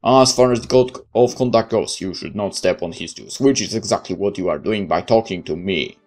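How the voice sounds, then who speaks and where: A man's voice: lowish voice, a little fast, a little mellow. A man voicing a stern, cold military superior in a visual novel, lecturing in a clipped, authoritative way.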